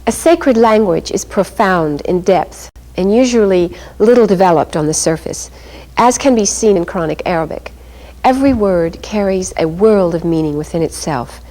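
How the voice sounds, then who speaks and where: A woman with a fairly low voice narrates a documentary script. Her delivery is formal and even. She reads steadily, like a program narrator. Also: moderate pace, low-quality recording.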